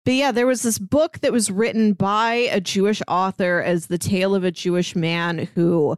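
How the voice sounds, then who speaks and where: A woman's voice: mid-range voice, steady tone, moderate pace, no room sound. A woman co-hosting a podcast, talking casually through a book in a conversational way. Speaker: podcast co-host.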